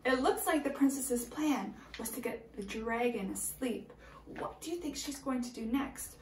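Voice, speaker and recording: A woman's voice: fairly high pitch, measured pace, reverberant, a little hiss or hum. A woman teacher reading a storybook aloud expressively and prompting young listeners with questions.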